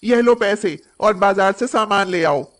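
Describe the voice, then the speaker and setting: A woman's voice: mid-range voice, measured pace, lightly acted. A woman playing a bossy, cruel young daughter-in-law in a moral story cartoon, with a nagging, sarcastic, haughty manner. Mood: harsh, scolding.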